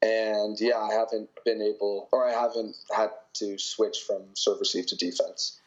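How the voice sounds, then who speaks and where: Brief: young Canadian male athlete, easygoing, filler-heavy answers, slightly low voice, muffled lo-fi recording. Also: normal speed. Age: young adult. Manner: relaxed, many fillers and hedges. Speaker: college volleyball player. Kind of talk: interview answers.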